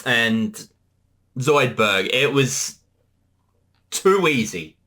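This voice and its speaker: A creator chatting casually through a commentary track, in an animated, rambling way. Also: medium pitch, very slow. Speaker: creator giving commentary, voice actor. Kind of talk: casual commentary chat between two creators.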